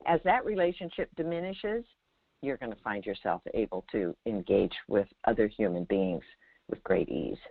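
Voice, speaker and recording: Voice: medium pitch, lively intonation, moderate pace, vocal fry, no room sound. A teacher guiding a caller in conversation, speaking emphatically, repeating phrases and checking in with short questions, over a phone line. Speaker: host, meditation teacher answering a caller. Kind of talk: spiritual guidance in a call-in conversation. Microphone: phone call. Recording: narrowband phone line sound.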